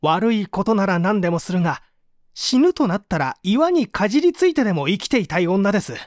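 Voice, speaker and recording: A man's voice: high-pitched, sing-song, a little fast, dry recording, studio quality. Man acting a subdued, evasive murder suspect. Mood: bitter, scornful. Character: subdued, evasive male painter under interrogation for murder. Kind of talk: dramatised reading of a mystery story.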